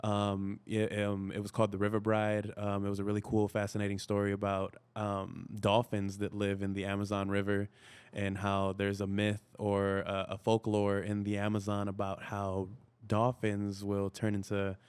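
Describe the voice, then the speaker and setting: A man's voice: on the low side, monotone, moderate pace, darkish tone, clean tone. A man whose first language is Spanish, an actor chatting easily as a podcast guest with many "you know" fillers. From United States. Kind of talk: casual interview chat.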